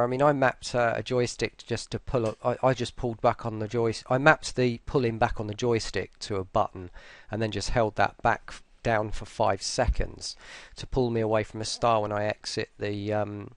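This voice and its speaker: A man with a fairly low voice and plenty of vocal fry, sounding tired. He gives an unscripted walkthrough, low-key and hesitant, wandering as he goes. The sound is close and dry. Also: dry recording. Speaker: voice pack creator presenting an update. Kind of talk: casual, unscripted software walkthrough.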